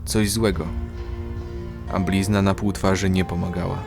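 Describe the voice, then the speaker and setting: Deep-voiced male fantasy narrator. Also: flat delivery, unhurried, creaky. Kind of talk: scripted dramatic narration of fantasy audio drama. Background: loud dramatic music.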